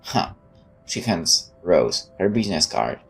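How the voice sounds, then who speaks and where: A man's voice: sits low, big pitch swings, slow pace, gravelly, husky, faint music behind. A man playing through a game, reading its text aloud and adding sarcastic asides. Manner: sarcastic, interjecting quips. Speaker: let's player.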